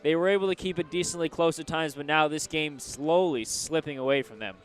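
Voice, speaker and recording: A man's voice: a little high, brisk, faint music behind. A man giving live radio color commentary on college lacrosse, explaining the plays in a conversational way. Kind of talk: live sports analysis between plays.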